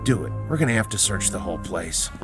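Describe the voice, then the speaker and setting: An actor playing a calm, authoritative doctor, deliberate and commanding, in an old drama. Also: male voice, deep voice, over music. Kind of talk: mid-century television drama acting.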